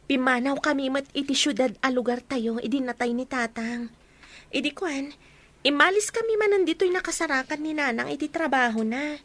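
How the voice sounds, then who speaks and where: A woman's voice: high-pitched, moderate pace, fairly bright tone. A woman playing a chatty, teasing young girl in radio drama dialogue. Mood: playful, curious.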